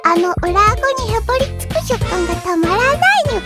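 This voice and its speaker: A woman's voice: extremely high pitch, fairly quick, loud music behind the voice. A woman voicing a tiny, food-loving little alien cat-girl in an anime audio drama, childlike, ending sentences with a cat-like nya. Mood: dreamy, matter-of-fact.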